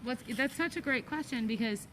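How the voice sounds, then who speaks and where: A woman's voice: sits high, moderate pace, a bit bright, slight background noise. A middle-aged American woman in a casual discussion, hesitant and often interrupting herself.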